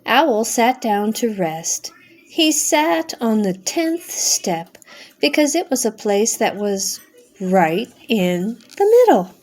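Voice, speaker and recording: A middle-aged or older woman, a grandmother, narrating a children's storybook aloud with simple, expressive phrasing. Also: on the high side, takes it slowly, faint music behind. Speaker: grandmother reading a bedtime story.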